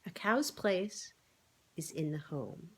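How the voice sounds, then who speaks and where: A woman's voice: on the low side, lively intonation, slow, a little hiss or hum. Woman reading as a modest, homely storybook cow. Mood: troubled. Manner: homely, plain-spoken, hesitant. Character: big, modest, sensible female cow. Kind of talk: animal storybook read-aloud.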